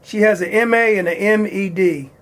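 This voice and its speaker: High-voiced male host, halting delivery. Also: a bit bright. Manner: halting, with restarts. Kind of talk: introducing a guest.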